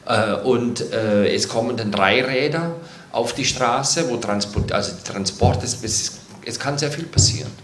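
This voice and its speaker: A man's voice: medium pitch, a little slow, slight background noise. A man on a panel speaking in a rambling way, with many fillers and tag questions. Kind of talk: panel discussion. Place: hall at a public event. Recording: roomy hall.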